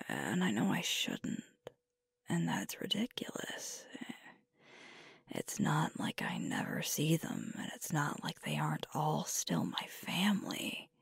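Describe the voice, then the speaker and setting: A woman playing a sweet, earnest young werewolf pack leader in an intimate ASMR girlfriend roleplay, breathy and tender, close to the microphone. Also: low-pitched, dry recording, heavily acted. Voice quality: breathy, whispery. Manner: slow, tender, hesitant, with pauses. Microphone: close microphone, dry.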